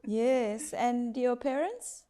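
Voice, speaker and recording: A woman's voice: fairly high pitch, slow, warm tone. A woman interviewer asking short, friendly questions to move the conversation along. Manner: friendly, brief prompting questions. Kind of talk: casual interview.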